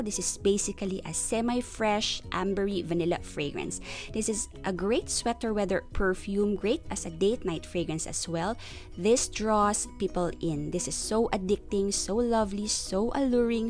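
A woman's voice: mid-range voice, darkish tone. Reviewer, female, young adult, from the Philippines: eager, descriptive delivery over faint background music. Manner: enthusiastic, descriptive, stretches words for emphasis. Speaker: perfume reviewer. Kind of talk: friendly scripted product review.

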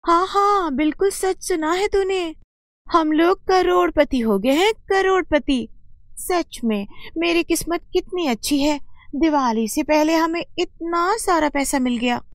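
Woman doing a show-off newly rich cartoon wife, high voice, pushy and emphatic, dry sound. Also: unhurried, no echo. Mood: gloating. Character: boastful, demanding newly rich housewife in cartoon.

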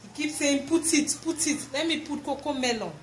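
A woman's voice: high-pitched, normal speed. Cameroonian woman vlogging in an echoey room. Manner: expressive, exclamatory, chatty. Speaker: home vlogger. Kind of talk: casual chat to camera while doing housework. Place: tiled home kitchen, indoors. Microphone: camera or phone at arm's length and further. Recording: echoey tiled room with clear noise.

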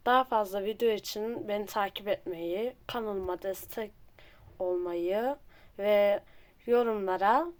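A host narrates a casual drawing tutorial at a slow pace. The voice sits at a medium-high pitch. Each step comes in short, simple phrases, addressed to viewers.

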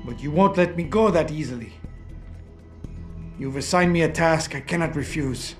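A defeated, educated doctor in a game cutscene, played by a man. His fairly high male voice is brooding, deliberate and heavy with guilt, with faint music behind it. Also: natural voice. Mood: resigned. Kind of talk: dramatic video game cutscene acting.

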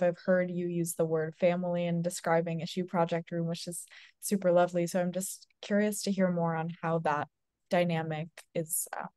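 A woman's voice: lowish voice, a little mellow, no room sound. A young woman moderating a video call, asking a question in a friendly, curious way. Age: young adult. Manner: friendly, curious, casual fillers. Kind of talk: panel conversation on a video call. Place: indoor room with window.

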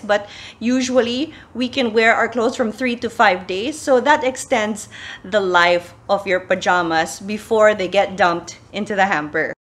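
A middle-aged woman with a Filipino accent, chatting casually to camera with sustainable laundry tips, slipping in Tagalog phrases, recorded in a small plain room. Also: fairly high pitch. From Philippines. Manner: chatty, switches into Tagalog phrases, animated gestures. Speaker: sustainability vlogger.

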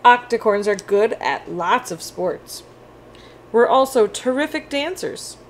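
A woman voicing a friendly, quirky, slightly lonely octopus-unicorn from a picture book, with an animated, childlike delivery. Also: fairly high pitch, slow pace, a little hiss or hum. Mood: cheerful, boastful.

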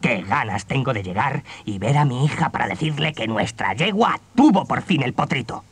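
An actor playing an earnest, poor peasant man with a husky, expressive voice on a storybook cassette. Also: mid-range voice, normal speed. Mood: friendly.

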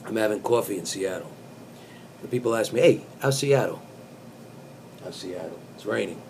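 A man in his fifties reciting in a deliberate, mock-serious way with casual asides, recorded in a small room on a webcam. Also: lowish voice, lively intonation, creaky, a little mellow, slight background noise. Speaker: comic host reading song lyrics as poetry. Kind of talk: dramatic spoken recitation of pop lyrics with casual asides.

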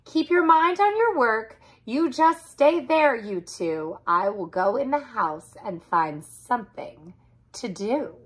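A woman's voice: fairly high pitch, animated, slow pace, husky, full character voice. A woman voicing a mischievous, carefree male cat from a storybook, breezy and boastful. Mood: playful, bossy.

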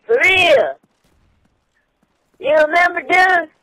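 A man's voice: extremely high pitch, expressive, takes it slowly, pushed and bright, no room sound, heavily acted. A man making a prank phone call, voicing an eager, whiny teenage skater boy. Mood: eager, insistent.

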